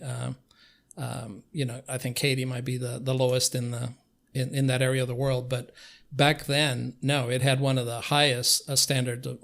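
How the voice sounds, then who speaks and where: Older Cuban-born man, even-toned and halting. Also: mid-range voice, gravelly. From Cuba. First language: Spanish. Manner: halting, hesitant phrasing with frequent pauses. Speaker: interview guest. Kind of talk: conversational reminiscence.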